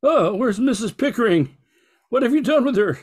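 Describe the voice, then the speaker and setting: A man's voice: very high-pitched, lively intonation, moderate pace. An older man playing a stern, righteous uncle in an amateur old-time radio drama.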